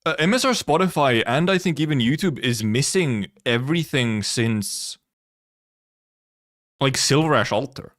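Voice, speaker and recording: Man, live streamer, casual chat, rambling, halting, thinking aloud, occasional swearing, mid-pitched voice. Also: lively intonation, a bit bright. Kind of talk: casual chat, reacting to viewers.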